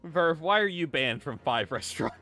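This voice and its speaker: Bright, lively young-sounding streamer guy. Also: fairly high pitch, faint music behind. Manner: playful, teasing, quick laughter. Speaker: streamer hosting a group chat. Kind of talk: casual chat with friends.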